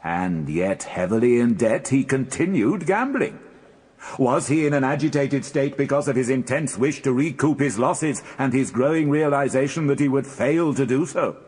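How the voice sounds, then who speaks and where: Husky British prosecutor, booming hall. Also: male voice, expressive, normal speed, a little mellow. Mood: insistent, formal. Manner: formal, insistent, leading questions. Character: formidable, pressing male Crown prosecutor, forceful and relentless. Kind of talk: British radio courtroom drama acting. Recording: long echo of a large hall.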